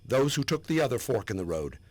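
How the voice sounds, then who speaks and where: A man's voice: medium pitch, sing-song, a little fast, darkish tone, dry recording. A man giving a dramatic reading as a proud war veteran looking back on his service. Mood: defiant. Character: proud, remembering male Vietnam war veteran. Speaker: reader.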